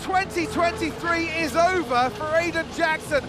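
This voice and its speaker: A man playing an excitable motor racing commentator, calling the action with urgent, dramatic projection. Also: very high voice, a little slow, over music, crowd noise. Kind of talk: live race commentary.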